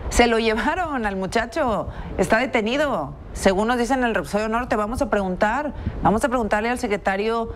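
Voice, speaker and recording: A woman's voice: fairly high pitch, fairly quick, slight background noise. A middle-aged woman with a Mexican accent, commenting on the news as an indignant, emphatic TV anchor. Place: TV studio at a news desk. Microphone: lapel mic.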